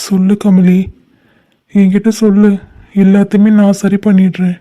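A man's voice: sits high, level tone, normal speed, mellow, close to an everyday voice. A young man playing a heartbroken lover, speaking with a Tamil accent, pleading and crying. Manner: pleading, tearful and despairing. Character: lovesick young man, grieving and desperate. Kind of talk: short-film drama acting.

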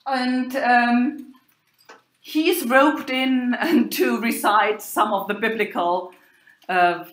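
Slow, halting German-accented woman lecturer. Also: a little high, fairly even intonation. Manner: halting, hesitant delivery with many pauses. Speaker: university lecturer in medieval German literature. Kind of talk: informal academic lecture with quoted text. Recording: roomy, echoing sound.

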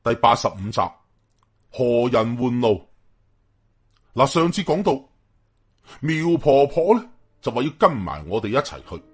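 Male storyteller, Hong Kong Cantonese accent, husky and highly expressive, colloquial suspense narration. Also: unhurried, long pauses. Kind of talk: dramatic first-person story narration.

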